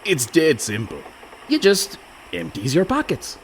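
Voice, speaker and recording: An actor playing a sly, illiterate pirate ruffian in a comic radio play, with mock-jovial menace and rough, ungrammatical pirate talk. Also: male voice, fairly high pitch, wildly swooping pitch, unhurried. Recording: clear background noise.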